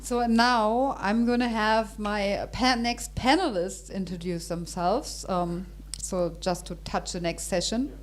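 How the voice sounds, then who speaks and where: A woman's voice: mid-range voice, fairly even intonation, slow pace, hardly any pauses, a little hiss or hum. A woman moderating a panel event in a chatty, hesitant way, with many fillers and restarts.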